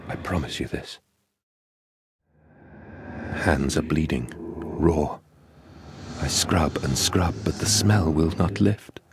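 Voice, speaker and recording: A haunted, guilt-ridden father in a horror game. His voice is extremely low and slow, brooding and mournful. Clear ambient noise surrounds him. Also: full character voice. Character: haunted, grieving father, a remorseful industrialist. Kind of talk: dramatic video game monologue.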